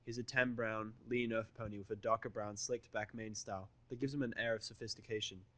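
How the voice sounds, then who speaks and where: A man's voice: lowish voice, steady tone, fairly quick, bright, forceful tone. A man reading first-person, present-tense fantasy fan fiction as the narrator.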